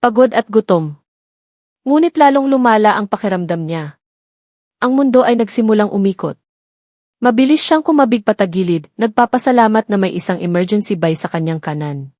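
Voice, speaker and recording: Female narrator for scripted romantic drama, medium pitch, dry recording. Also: expressive, brisk, darkish tone, dry recording.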